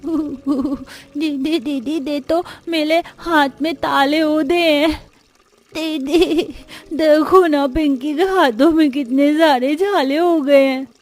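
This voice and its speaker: Cartoon waif, played by a woman: high-pitched, unhurried, frightened, whimpering, pleading. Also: darkish tone. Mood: pitiful. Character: frightened, freezing, pitiful small poor children. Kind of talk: Hindi cartoon story acting.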